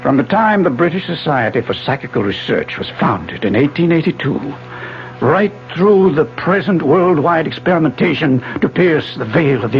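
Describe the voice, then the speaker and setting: An actor playing an obsessed elderly physics professor in a 1970s radio drama, formal, insistent and fervent, with a declamatory delivery. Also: male voice, on the high side. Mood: earnest. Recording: dull 1970s radio broadcast, faint music.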